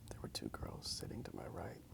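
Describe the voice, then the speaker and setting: A man whispering softly in a breathy, gravelly voice, rambling casually and a little hesitantly in an ASMR style. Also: fairly quick. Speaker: ASMR video creator. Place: outdoors in a park.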